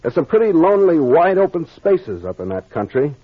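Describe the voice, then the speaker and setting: An American actor playing a confident, wry private detective who explains with command in a 1940s-style radio drama. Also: male voice, a little high, very animated, a little fast, no room sound. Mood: grave, teasing. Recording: dull, muffled old-time radio recording.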